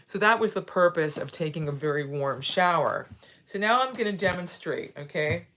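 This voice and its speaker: A woman's voice: fairly low pitch, lively intonation, moderate pace, fairly bright tone, a little hiss or hum. A sixty-year-old woman hosting a live video, talking casually as she demonstrates, with frequent tag questions and repeated phrases. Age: 60s. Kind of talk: casual instructional talk.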